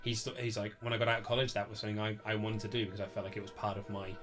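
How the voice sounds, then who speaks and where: A British man talks at a rapid clip in a casual interview. He is chatty and rambling, slipping into quoted remarks as he explains things. Faint music sits behind his voice on a low-budget recording. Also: flat delivery. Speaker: author being interviewed. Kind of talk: animated casual interview chat.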